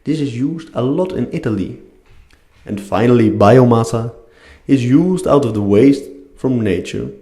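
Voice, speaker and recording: A man's voice: mid-range voice, animated, unhurried, warm tone. A man with a non-native English accent giving a scripted educational narration. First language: not English. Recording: echoey room.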